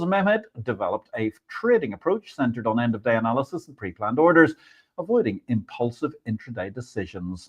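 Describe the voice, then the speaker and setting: A man hosting an interview show, reading an introduction and asking questions. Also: on the high side. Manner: enthusiastic, effusive praise. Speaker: podcast host. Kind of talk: interview host.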